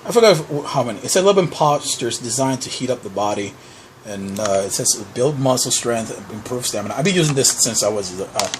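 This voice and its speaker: A man's voice: medium pitch, animated, fairly quick, background noise. A young man from Puerto Rico whose first language is Spanish, rambling through a casual show-and-tell with many fillers and restarts. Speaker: vlogger. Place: dim room at a desk. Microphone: handheld camera pointed at desk.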